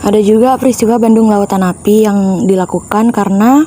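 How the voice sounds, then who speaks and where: A woman's voice: mid-range voice, fairly quick, dark tone, a little hiss or hum. A teenage girl presenting school topics on a student podcast in an earnest, informative way. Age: teens. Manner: earnest, informative, explaining history.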